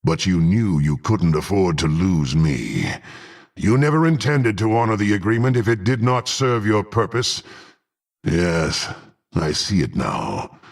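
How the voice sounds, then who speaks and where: A brutal half-orc warrior from a fantasy game, contemptuous and hungry for power. The gravelly voice moves slowly but swings widely, dripping with haughty menace. The phrasing is archaic and formal. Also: male voice, low-pitched, sing-song, exaggerated voice. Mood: brooding. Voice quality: gruff, gravelly. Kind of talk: dramatic fantasy game voice acting.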